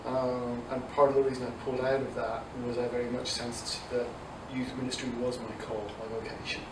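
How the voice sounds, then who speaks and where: A man's voice: mid-range voice, level tone, fairly quick. Irish guy in his 40s w some english in the accent, chatting in interview, echoey room, far from mic, noisy. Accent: Irish mixed with English. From south Dublin, Ireland. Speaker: youth ministry director. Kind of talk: conversational interview answers.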